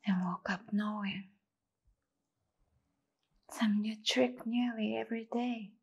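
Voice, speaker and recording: Resigned, despairing adult daughter for a stage monologue, played by a woman: slightly high, husky, breathy, deliberate short phrases. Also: steady tone, full character voice. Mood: hushed, wistful. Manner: halting, deliberate, long pauses between short phrases. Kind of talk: slow dramatic stage monologue.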